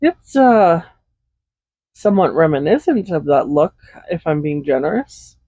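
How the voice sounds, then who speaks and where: Woman acting a mellow, doting, soft-spoken villain. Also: deep voice, very animated, no echo, exaggerated voice. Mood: sheepish. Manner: soft-spoken, unhurried, gently teasing. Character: doting, possessive villain gently tending a captive. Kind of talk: intimate roleplay audio acting. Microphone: Blue Yeti USB microphone, close. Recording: slightly dull home microphone recording.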